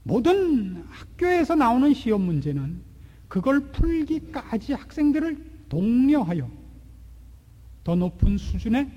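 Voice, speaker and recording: A man in his forties preaching a sermon, asking rhetorical questions and swinging between loud and hushed delivery. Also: very high-pitched, dull audio. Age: 40s. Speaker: pastor. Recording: long hall reverb.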